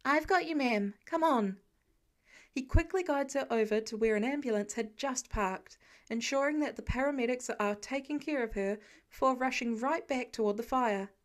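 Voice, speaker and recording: A woman reading scripted fiction as an expressive audiobook-style narrator. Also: a little high, normal speed. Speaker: podfic narrator.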